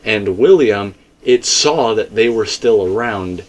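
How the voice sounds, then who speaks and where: Low-voiced man rambling through a casual theory discussion. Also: expressive, slow pace, rough recording. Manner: rambling, stop-and-start phrasing with frequent self-corrections. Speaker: host of a game theory discussion.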